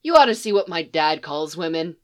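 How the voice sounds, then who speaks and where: A woman's voice: deep voice, sing-song, brisk, pitched below the performer's own voice. A woman voicing a mouthy, combative eleven-year-old boy who talks back sharply in a storybook reading. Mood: argumentative. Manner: combative, sassy back talk.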